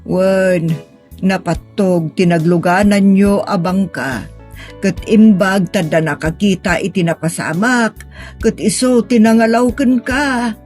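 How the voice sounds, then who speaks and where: A woman's voice: medium pitch, takes it slowly, darkish tone, soft background music, close to an everyday voice. A woman playing a patient, middle-aged mother, earnest and consoling, in a sentimental audio drama. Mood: concerned.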